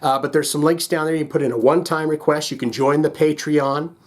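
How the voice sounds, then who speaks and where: A man with a somewhat high-pitched voice talks casually as a music reaction host. His delivery rambles along in a joking manner, and he often breaks into his own sentences to restart or add something. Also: steady tone, quick pace. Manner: rambling, jokey, self-interrupting. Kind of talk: casual chat to viewers.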